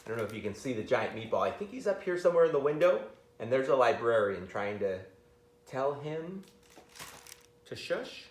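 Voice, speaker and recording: Animated middle-aged man reading aloud. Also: mid-range voice. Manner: expressive, pausing to comment and ask listeners questions. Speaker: school reader of picture books to children. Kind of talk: picture book read-aloud with asides. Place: school library room. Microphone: camera a few metres away. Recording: roomy library, camera at a distance.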